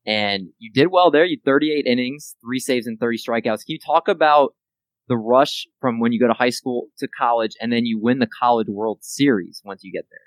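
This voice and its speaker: A man's voice: mid-range voice, moderate pace, no room sound, poor audio. Host, man with a mid-range voice, easygoing and upbeat, recorded dry and close. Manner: upbeat hype intro, then casual questions. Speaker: podcast host. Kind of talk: casual podcast interview.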